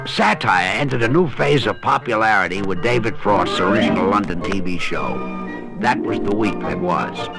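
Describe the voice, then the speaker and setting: Male radio presenter, gravelly low voice, scripted narration with lively intonation over music. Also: moderate pace. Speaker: radio host. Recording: radio programme with music behind.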